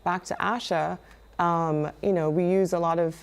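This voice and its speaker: A woman from Trinidad and Tobago with a light Trinidadian accent, speaking as a guest on a morning TV show. Her voice sits fairly low with a gravelly edge. She talks earnestly, stopping and restarting with plenty of ums. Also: steady tone. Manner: earnest, halting with many fillers and restarts. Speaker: knitwear designer guest. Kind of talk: live TV interview.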